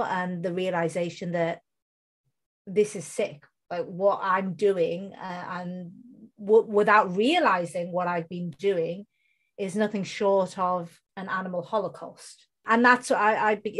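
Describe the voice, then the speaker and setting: A woman's voice: medium pitch, fairly even intonation. Earnest British woman telling her story. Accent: British English. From United Kingdom. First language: Bengali. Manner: storytelling, earnest, slows down to stress points. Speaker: guest. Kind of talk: casual interview conversation.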